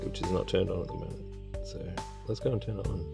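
Low, gravelly man rambling casually. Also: steady tone, fast talker, over loud music. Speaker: car audio hobbyist vlogger. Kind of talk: casual unscripted vlog commentary. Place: in and around a parked car. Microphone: handheld phone.

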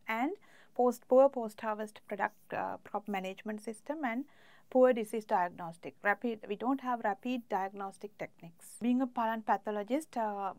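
Sri woman, higher voice, explaining. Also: no room sound. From Sri Lanka. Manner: steady, explanatory, some hesitations. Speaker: plant pathologist. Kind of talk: interview answers to camera. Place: indoor studio, plain backdrop.

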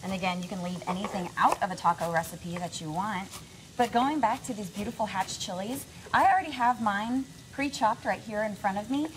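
A woman's voice: mid-range voice, a little fast, noisy background. A young woman guest on a live TV cooking segment, explaining a recipe in an upbeat, chatty way. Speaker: cooking guest from a grocery store. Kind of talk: live TV cooking demo chat. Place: TV studio kitchen set.

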